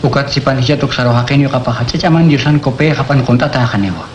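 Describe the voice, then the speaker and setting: Un hombre que interpreta a un personaje gruñón de voz grave en una radionovela. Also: male voice, medium pitch, fast, muffled recording, a little hiss or hum, natural voice. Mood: grumbling. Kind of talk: radio drama acting.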